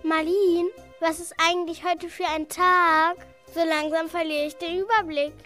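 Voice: extremely high pitch, little pitch movement, unhurried, sharp tone, faint music behind, full character voice. A child playing a young detective in an amateur radio play, reading the lines in an eager, worried way. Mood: earnest, puzzled.

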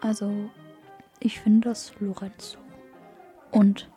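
A female voice at a middle pitch, with music clearly playing behind it. She gives a statement haltingly, piece by piece. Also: flat delivery.

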